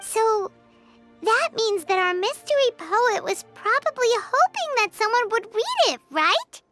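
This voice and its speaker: A little floating fairy from a video game, childlike and excitable. The squeaky voice sits extremely high and bounces through exclamations, over clear background music. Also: female voice, unhurried, exaggerated voice. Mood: curious. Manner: exclamatory, bubbly, chatty.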